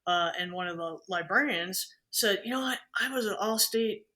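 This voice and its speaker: A woman who is a band conductor, a guest on a podcast, telling anecdotes in an animated way and acting out quoted speech. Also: mid-range voice, normal speed. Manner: animated storyteller, acts out quotes. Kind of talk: casual conversation, anecdotes.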